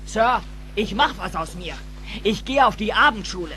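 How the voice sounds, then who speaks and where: A man's voice: sits high, animated, normal speed, bright, forceful tone, a little hiss or hum, full character voice. A man playing a proud, ambitious young café worker in dubbed film dialogue, speaking emphatically. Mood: proud, dreamy.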